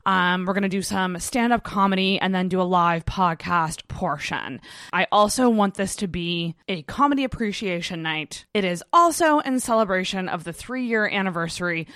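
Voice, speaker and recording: A woman's voice: medium pitch, no room sound, clean studio sound. A woman hosting a podcast in a casual, chatty way, with a big voice, gushing and swearing freely. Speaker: podcast host.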